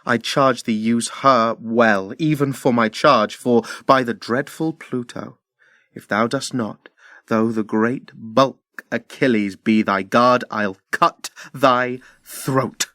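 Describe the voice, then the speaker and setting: A man's voice: mid-range voice, steady tone, takes it slowly, a bit bright, no room sound, studio quality. A man playing an ardent, jealous young Trojan prince in love, delivering classical verse earnestly in an audio drama. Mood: threatening. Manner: earnest, declamatory.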